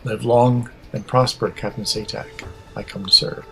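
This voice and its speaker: A man's voice: medium pitch, steady tone, sharp tone, soft background music. Man playing stoic, formal Vulcan captain. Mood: courteous. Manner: measured, formal, precise. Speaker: voice actor. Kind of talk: audio drama, formal log narration.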